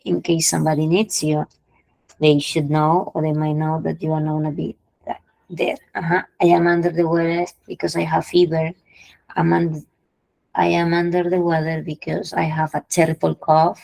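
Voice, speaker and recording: A woman's voice: deep voice, creaky, mellow, no echo, rough recording. A woman teaching English idioms in an online class, explaining patiently, repeating phrases and checking in with her students. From Peru.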